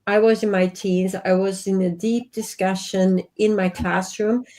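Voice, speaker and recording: A woman's voice: mid-range voice, fairly even intonation, a little slow, a little mellow. A woman in her fifties from Sweden, with a Swedish accent, talking earnestly and reflectively as an interview guest. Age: 50s. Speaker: guest, life and business coach.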